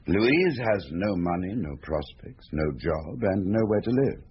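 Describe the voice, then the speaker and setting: A shrewd male confidant in a conversational radio drama. He reasons deliberately in a low, very expressive voice. The recording is slightly dull with faint hiss. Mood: thoughtful, probing. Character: shrewd, measured male confidant questioning a detective.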